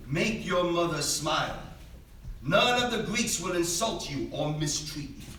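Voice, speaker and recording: A man's voice: on the high side, fairly bright tone. A man playing a proud, doomed Greek warrior and father, declaiming with command in a staged reading of a tragedy. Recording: echoey theater, distant mic, faint noise.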